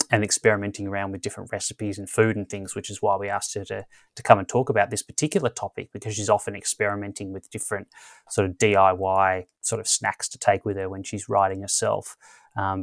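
A man hosting a podcast, introducing a guest in a relaxed way with many pauses and restarts. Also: lowish voice, dry recording. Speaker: podcast host. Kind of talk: casual interview chat.

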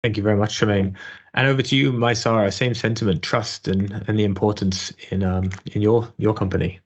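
A man's voice: lowish voice, steady tone, fairly quick. A man hosting a panel podcast, thanking guests politely and passing questions along with many fillers. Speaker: podcast host.

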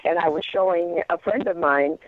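A man's voice: fairly high pitch, dry recording. Man on a phone-like line. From Sweden. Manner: halting, with many uh fillers. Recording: dry, phone-like sound.

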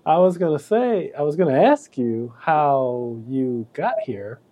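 Male host, mellow voice, easygoing and curious interviewer. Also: fairly high pitch, measured pace, lo-fi sound. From Pontiac, Michigan. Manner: relaxed, curious questioning. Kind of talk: casual interview chat.

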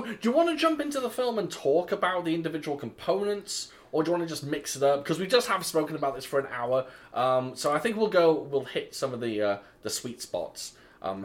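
Guy hosting a movie podcast, bouncy voice, laughs a lot. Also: a little high. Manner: animated, laughing, jumps in often. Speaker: podcast host. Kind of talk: casual film review chat.

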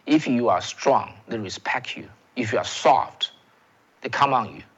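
A man's voice: mid-range voice, measured pace, fairly bright tone, husky, highs cut off. A man from China, a native Chinese speaker with a Chinese accent, hosting solo political commentary. He talks emphatically, often repeating words and restarting phrases, and calls his viewers "folks.".

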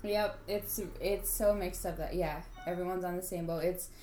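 A woman's voice: on the low side, fairly even intonation, normal speed, mellow, reverberant, faint music behind. A young woman from Hong Kong being interviewed in casual conversation, talking with frequent hesitations and restarts. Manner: hesitant, many restarts and fillers.

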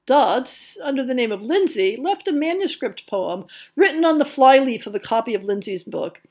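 Female podcast narrator for history essays: highish pitch, strongly varied melody, articulate storytelling with a wry touch. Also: a little mellow. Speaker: podcast host.

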